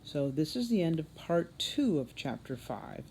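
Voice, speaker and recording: A woman reading a children's novel aloud as an expressive narrator. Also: low-pitched, measured pace, creaky, a little hiss or hum. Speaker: read-aloud storybook narrator, teacher.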